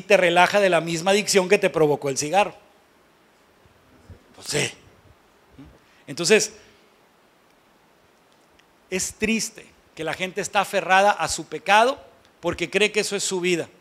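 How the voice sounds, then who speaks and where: A man's voice: high voice, a bit bright. A man with a Mexican accent preaching as a pastor in a conversational, blunt and colloquial way, leaning on rhetorical questions for emphasis. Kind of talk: conversational sermon to a congregation.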